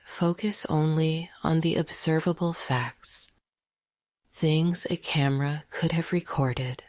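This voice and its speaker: Woman, meditation guide, low voice, slow, breathy near-whisper, gentle, dry close sound. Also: no room sound. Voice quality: soft, breathy, half-whispered.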